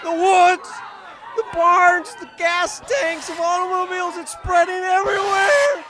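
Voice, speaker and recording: A man's voice: extremely high pitch, measured pace, sharp tone, no room sound, a faint crowd, exaggerated voice. An actor playing a male radio field reporter describing events live, in breathless old-time radio drama style. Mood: panicked. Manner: breathless eyewitness narration. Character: male radio reporter at a crash site, panicking. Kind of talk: 1930s radio drama acting. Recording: noisy old radio recording.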